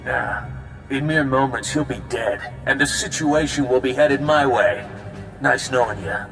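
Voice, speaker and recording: A man's voice: medium pitch, a little slow, vocal fry, over music. An actor playing a smug, treacherous male turncoat captain in a video game, mocking and offhand.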